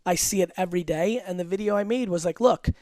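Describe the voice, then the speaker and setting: High-pitched, emphatic man talking business. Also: dark tone, dry recording, studio quality. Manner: emphatic, blunt, rapid-fire with profanity. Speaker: entrepreneur guest. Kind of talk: podcast interview.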